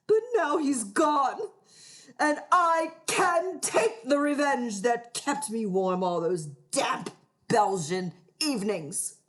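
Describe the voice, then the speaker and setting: High, haughty, vengeful society lady. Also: fairly bright tone. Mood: aggrieved. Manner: haughty, drawn-out theatrical delivery. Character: vengeful, melodramatic scorned ex-wife posing as respectable society lady. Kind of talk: theatrical radio play acting.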